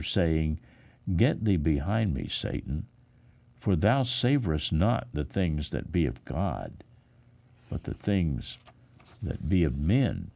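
Deep, gravelly man reading scripture slowly. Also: mellow. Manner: measured, deliberate phrasing with frequent pauses. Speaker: pastor reading scripture aloud. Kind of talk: solemn, expressive Bible reading.

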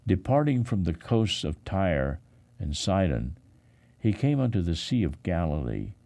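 A man reading scripture aloud as a pastor, in a solemn style with deliberate phrasing and emphatic pauses. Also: deep voice, gravelly, warm tone.

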